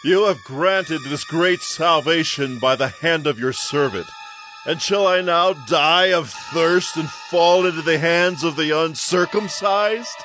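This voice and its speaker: A man's voice: on the high side, takes it slowly, bright, forceful tone, faint music behind. An actor playing a mighty, brooding Israelite strongman in a solemn scripture drama. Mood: desperate pleading.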